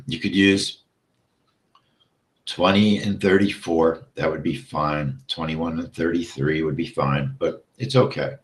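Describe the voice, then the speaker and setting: A man with a deep, low voice walks through a live trade at an unhurried pace. His voice is going hoarse, and he clears his throat often between steps. The sound is roomy and echoey. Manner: steady, explains step by step, often checks with "okay?". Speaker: trading instructor. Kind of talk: live trading walkthrough.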